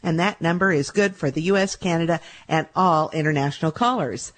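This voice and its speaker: A woman's voice: sits low, a little fast. A middle-aged woman hosting a call-in radio show, chatting casually with fillers and repeating herself, just back from laryngitis. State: recovering from laryngitis. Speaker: radio show host and medical intuitive.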